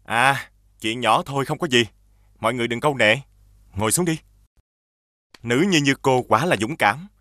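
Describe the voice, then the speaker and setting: Genial cartoon magistrate played by a man: hearty, formal, bright mid-pitched voice, recorded dry. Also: moderate pace, pauses often, no echo, close to an everyday voice. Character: genial, dignified male government official. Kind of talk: animated fairy-tale dubbing.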